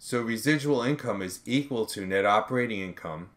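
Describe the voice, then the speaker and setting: A man working step by step through an accounting tutorial as an instructor, in a measured way, reasoning aloud as he calculates. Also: on the low side. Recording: background noise with keyboard typing clicks.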